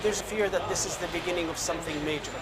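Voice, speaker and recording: A man's voice: high voice, racing. A man answering interview questions as a surgeon, speaking hesitantly and repeating words. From British Palestinian. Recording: busy outdoor street, loud background voices, traffic.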